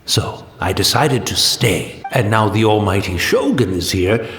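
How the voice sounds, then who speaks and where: An actor playing a gentle, blind old tea master in a video game, humble, resigned and content, with a courtly, rambling way of talking. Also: male voice, lowish voice, animated, measured pace, echoey room. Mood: humble, courteous. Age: older. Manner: courtly, deferential, rambling and wistful.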